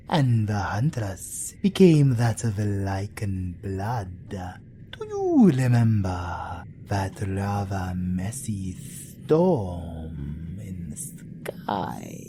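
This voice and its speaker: An actor playing a suave, teasing male vampire leader with a British accent and a breathy, theatrical, flirtatious drawl in an audio roleplay. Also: on the low side, sing-song, drawn-out words, dark tone, a little hiss or hum, full character voice. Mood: sly, intriguing.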